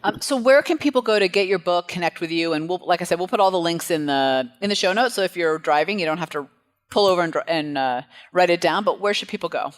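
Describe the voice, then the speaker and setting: Host: quick, mid-pitched interviewer, bright and eager. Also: lively intonation. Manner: upbeat, enthusiastic, laughs often. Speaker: podcast host. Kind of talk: casual interview chat.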